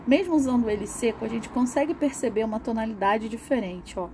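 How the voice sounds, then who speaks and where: A young adult woman, slightly hoarse from a cold, hosting her own art channel and chatting loosely while she shows off her coloring pencils. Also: fairly high pitch, a little fast, darkish tone, a little hiss or hum. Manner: chatty, rambling, with laughs and restarts. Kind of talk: casual chat demonstrating coloring.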